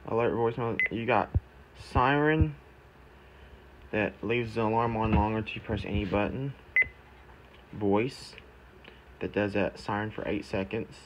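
Halting man in a very noisy recording. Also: lowish voice, steady tone. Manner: halting, fragmented, talking to himself. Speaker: amateur product demonstrator. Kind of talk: casual unscripted gadget walkthrough, muttering along. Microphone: handheld phone camera. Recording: very noisy handheld recording with button beeps.

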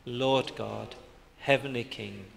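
Slow, measured priest in a reverberant church. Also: male voice, medium pitch, steady tone, vocal fry. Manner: slow, measured liturgical reading. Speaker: priest leading the service. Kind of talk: liturgical prayers and announcements. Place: church interior with stained glass. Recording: reverberant church captured from afar with faint background hiss.

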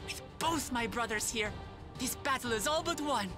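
A woman's voice: fairly high pitch, lively intonation, moderate pace, natural voice. An actress playing an earnest, idealistic young lion-woman warrior in a video game, speaking with impassioned conviction. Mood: hopeful. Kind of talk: video game cutscene acting. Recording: music behind the voice.

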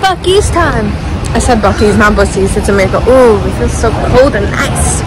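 A noisy handheld recording with people chattering behind. A young woman with a high voice talks to her vlog in a chatty, informal way, holding the camera at arm's length. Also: lively intonation, moderate pace, over a noisy crowd. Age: young adult. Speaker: vlogger. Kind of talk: casual vlog chat to camera.

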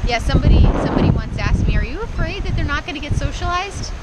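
A woman's voice: high voice, animated, fast, heavy background noise. A woman in her thirties chatting in a bubbly way with frequent laughter, vlogging outdoors at the beach and on a boat. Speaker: travel vlogger. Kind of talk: casual vlog chat.

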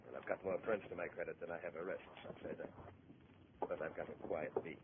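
A man's voice: sits low, fairly even intonation, fairly quick, lightly acted. An actor playing a proud, troubled middle-aged beat policeman in a 1950s radio drama, brooding and folksy in his speech. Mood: weary, defensive. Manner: brooding, folksy turns of phrase. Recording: muffled, noisy 1950s radio recording.

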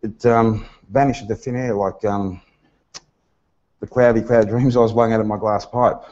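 A middle-aged man gives a prepared personal testimony in a small seminar room, with frank, deliberate phrasing. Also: on the low side, steady tone, darkish tone. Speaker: guest speaker giving personal testimony. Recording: dull lo-fi seminar webcast sound.

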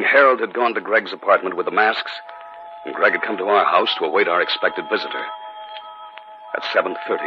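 A man's voice: sits low, natural voice. An actor playing an anxious, earnest man in a 1950s radio drama, with a tense manner. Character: anxious, earnest man narrating and facing danger. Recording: noisy, muffled 1950s radio broadcast.

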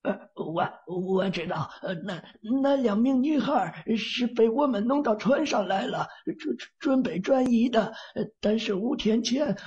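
Give me a cowering gang member, stammering and high, in muffled lo-fi sound. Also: male voice, a little mellow, slightly rough, full character voice. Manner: stammering, trembling.

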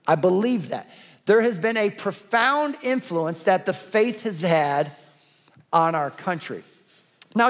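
High-voiced guy preaching on a big church stage, emphatic, repeats lines for punch. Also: a bit bright. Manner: emphatic, building repetition. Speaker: pastor. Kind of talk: sermon.

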